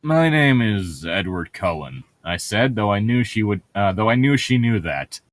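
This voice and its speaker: A man's voice: lowish voice, very animated, moderate pace. A man co-hosting a comedic read-along, reading a book aloud with casual, irreverent, sweary banter.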